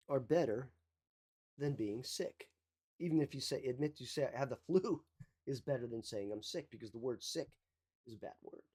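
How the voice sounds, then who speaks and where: A mindset coach giving an emphatic motivational talk, repeating key phrases, with a slight cough. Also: male voice, a little high, a little mellow, breathy edge.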